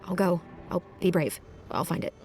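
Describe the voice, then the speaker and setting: A woman's voice: on the low side, moderate pace, darkish tone, a little hiss or hum, lightly acted. A woman voicing a troubled, searching young woman in a fantasy video game, earnest and dramatic. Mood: shaken, resolute.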